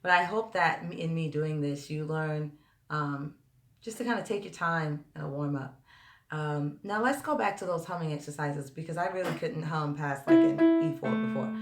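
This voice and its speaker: Hoarse low-voiced woman vocal coach. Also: normal speed, over music. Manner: chatty, direct, addresses viewers as y'all. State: hoarse, tired voice. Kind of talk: live-stream vocal lesson and Q&A. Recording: roomy echo.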